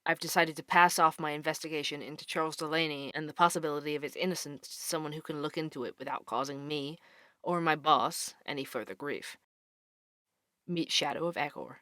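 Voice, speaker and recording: A man playing an earnest, dogged investigative podcast host in an audio drama, with a crisp, measured delivery. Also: on the high side, fairly even intonation, bright, forceful tone, no echo. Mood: earnest, narrating. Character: male investigative podcast host, dogged, weary young employee. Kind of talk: scripted podcast narration and audio drama dialogue.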